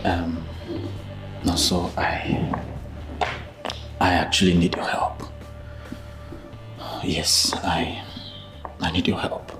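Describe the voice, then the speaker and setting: A man's voice: sits low, flat delivery, drawn-out words, long pauses, a bit bright, faint music behind, full character voice. A man playing an embarrassed, needy friend in a screen drama, hesitant and pleading with a Nigerian accent. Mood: sheepish pleading.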